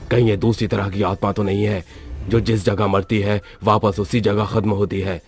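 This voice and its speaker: Male narrator as old tantrik exorcist, low pitch, grave, threatening, horror storytelling, clear music behind. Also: flat delivery, moderate pace, darkish tone, close to an everyday voice. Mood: shocked. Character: stern village occult exorcist, old man.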